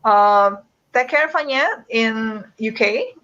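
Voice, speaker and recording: A woman's voice: a little high, expressive, slow, fairly bright tone, highs cut off. A woman hosting a live online session, wrapping up with hesitant, filler-heavy remarks over a video call. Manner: hesitant, many filler words. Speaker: host of a live study-abroad webinar.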